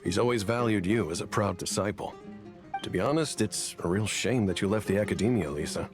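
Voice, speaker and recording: A man's voice: lowish voice, a little fast, over music, close to an everyday voice. An actor voicing a persuasive middle-aged male professor. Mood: coaxing. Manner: coaxing, smooth talker.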